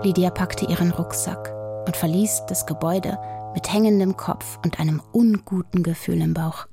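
A woman's voice: on the low side, steady tone, moderate pace, vocal fry, over music. A woman reading scripted narration as the storyteller of a children's audio story.

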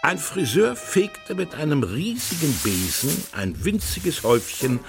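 A man narrating a children's radio play as an expressive storyteller. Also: fairly high pitch, a little slow, music in the background.

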